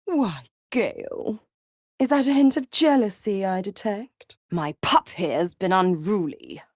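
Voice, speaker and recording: A woman's voice: medium pitch, animated, slow, darkish tone, no room sound, clean studio sound. An actress playing a seductive, scheming female devil in a video game, with a teasing, sardonic, theatrical and condescending drawl. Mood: playful taunting. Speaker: video game character actor.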